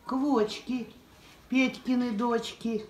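An older woman telling a rhyming folk tale in a slow, sing-song way from her kitchen. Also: fairly high pitch, fairly even intonation. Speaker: storyteller, the uploader's aunt. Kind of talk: folk-tale storytelling in rhyme. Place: indoor kitchen, seen through a window. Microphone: far camera. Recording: roomy, distant, noisy camera audio.

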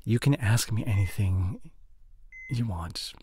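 Slow, halting, guilt-ridden boyfriend, acted by a man. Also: fairly low pitch, warm tone, slight background noise. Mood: contrite, confessing. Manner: halting, stammering, apologetic. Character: guilty, remorseful drinking boyfriend, soft and pleading. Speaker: audio roleplay voice actor. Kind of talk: intimate boyfriend audio roleplay.

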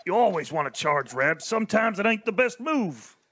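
Man as folksy cowboy starship captain, lively delivery, dry close sound. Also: sits high, normal speed, no room sound, heavily acted. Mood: laid-back. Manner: folksy drawl, dry quips. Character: drawling male space-cowboy captain, laid-back.

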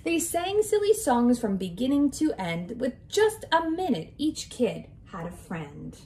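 A woman in her twenties, a kindergarten teacher, reading a picture book aloud to young children in an expressive, sing-song way. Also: a little high, unhurried. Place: small indoor room with decorated walls.

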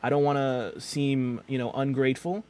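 A man's voice: mid-range voice, little pitch movement, moderate pace, a little mellow. A man streaming a video game, chatting playfully and reading viewers' messages aloud. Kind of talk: casual live-stream chat while gaming.

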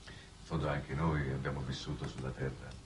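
An actor playing a weary, resigned exile from Earth in a 1960s television drama, speaking in a measured, grave way. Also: male voice, a bass voice, level tone. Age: middle-aged. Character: weary, resigned, fatalistic man exiled on another planet. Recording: noisy old 1960s TV broadcast soundtrack.

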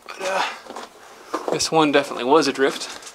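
A man's voice: a little high, moderate pace. A man exploring an abandoned underground mine, talking casually and wryly, cautious and curious. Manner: relaxed, cautious, wry and curious. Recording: noisy mine tunnel recording.

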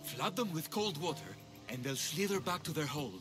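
An actor playing a cheeky, excitable priest of an ancient Greek bathhouse in a video game. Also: male voice, fairly high pitch, fairly quick, music in the background. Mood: explanatory, earnest. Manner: sarcastic, excitable, theatrical. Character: cheeky, panicky male sanctuary bathhouse priest in ancient Greece. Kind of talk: video game character acting.

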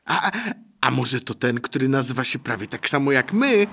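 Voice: mid-range voice, lively intonation, normal speed, vocal fry, a bit bright. A performer voicing a small, picky and curious sprite in a children's audio drama.

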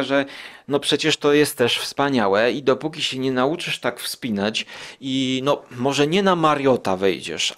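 Rambling male podcaster, mid-pitched. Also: a bit bright. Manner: rambling, digressive, hesitant pauses with self-questioning tags. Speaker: podcast host. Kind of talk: casual spoken monologue, musing aloud.

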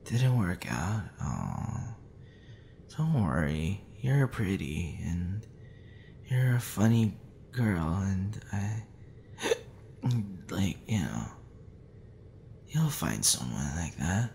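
A man's voice: sits low, sing-song, darkish tone, slight background noise, full character voice. A man playing a drunk, flustered young man with a tender streak, stammering and rambling in an intimate ASMR roleplay. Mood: tender, wistful. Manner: stammering, halting, tipsy rambling with breathy sighs.

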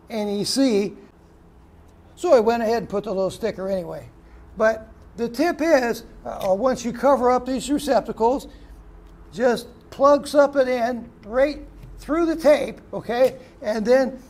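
Man, high-pitched, easygoing handyman host, rambling how-to chat, filler-heavy, recorded on camera mic indoors. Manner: relaxed and chatty, with frequent "uh" and "okay". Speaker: electrician and video host. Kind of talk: casual how-to demonstration. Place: indoor room of a house under renovation.

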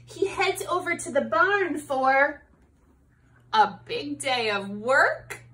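A woman in her thirties reading a picture book aloud to young children in an expressive, engaging way. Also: high-pitched, slow. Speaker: storybook reader for children. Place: small living room, indoors on a couch.

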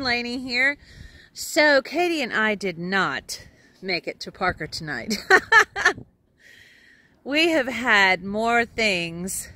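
Chatty Texan woman with a bright voice. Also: medium pitch. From Texas. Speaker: RV travel vlogger. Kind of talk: casual selfie vlog chat. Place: outdoors at an RV campground. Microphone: phone camera at arm's length.

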